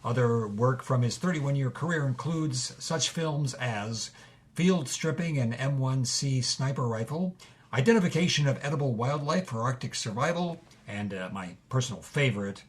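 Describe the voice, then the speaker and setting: A man playing a dryly amused film expert who gives measured, deadpan mock-documentary commentary. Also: mid-range voice, close to an everyday voice. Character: dryly amused male film expert recounting obscure movie history.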